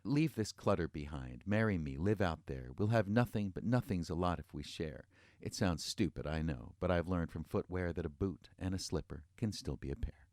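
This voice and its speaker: A man voicing an earnest, gruff young vagrant cobbler in love, speaking in storybook rhyme. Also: low-pitched, animated, moderate pace, darkish tone, dry recording, studio quality. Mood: tender, pleading.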